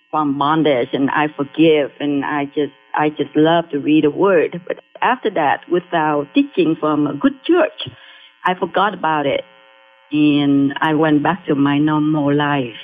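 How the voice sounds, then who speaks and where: A middle-aged woman from Vietnam with a Vietnamese accent, a guest sharing her faith testimony in halting speech that restarts phrases. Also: sits low. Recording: tinny phone line with faint music.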